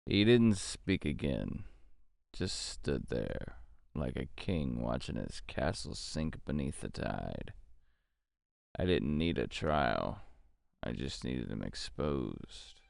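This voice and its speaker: A male actor as a cynical, weary private eye from a noir radio play. He speaks slowly and deliberately in a deep, gravelly voice that still swings widely in pitch. His manner is terse and brooding. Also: very animated, no echo, studio quality, exaggerated voice. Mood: somber. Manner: brooding, hardboiled, deliberate delivery. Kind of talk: noir audio drama narration. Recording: music under the voice.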